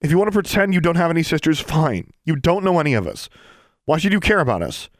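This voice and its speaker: A man's voice: on the low side, fast talker, a little mellow, no echo, clean studio sound, natural voice. A man voicing a desperate young girl who pleads insistently and defiantly in an audiobook story. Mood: desperate pleading.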